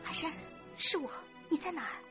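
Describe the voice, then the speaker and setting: An actress playing an anxious, guarded young office worker in love, in a radio drama. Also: female voice, very high-pitched, sing-song, moderate pace, lo-fi sound, music in the background. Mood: guarded, worried.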